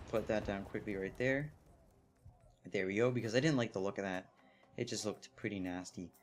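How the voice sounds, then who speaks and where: Young man rambling through gameplay. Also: lowish voice. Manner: rambling, thinking aloud with fillers. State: slightly hoarse. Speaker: gameplay commentator. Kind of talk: casual let's play commentary.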